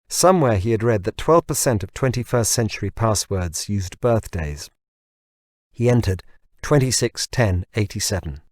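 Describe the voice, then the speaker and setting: Male narrator for fiction audiobook: lowish pitch, close, dry recording. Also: no echo. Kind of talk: scripted narration of a science fiction novel.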